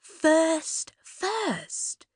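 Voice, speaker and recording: A woman reading a story, voicing a tactless, nosy young woman who squawks and gushes in drawn-out exclamations. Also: very high voice, wildly swooping pitch, bright, forceful tone, no echo, clean studio sound, pitched above the performer's own voice, exaggerated voice. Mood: gushing.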